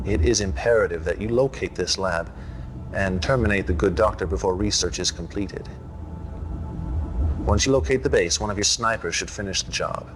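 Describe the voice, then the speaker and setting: Guy acting as low-voiced calm menacing cult boss giving orders in a game cutscene, loud music behind. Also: close to an everyday voice, rough recording. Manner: measured, commanding, slyly condescending. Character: calm, menacing male cult leader briefing his commander.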